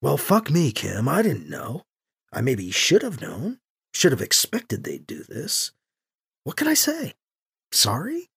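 Husky male protagonist, acted by a male narrator, wry, anxious, terse, sardonic muttering, lively intonation, audio story. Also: medium pitch, takes it slowly, pitched below the performer's own voice, exaggerated voice. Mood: shaken, rueful. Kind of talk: audio story character acting.

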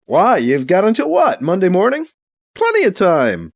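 A man voicing a wry, sarcastic male character in a story, halting and joking through his unease. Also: mid-range voice, very animated. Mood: awkward cheer. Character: wry, sarcastic man, uneasy but putting on good cheer.

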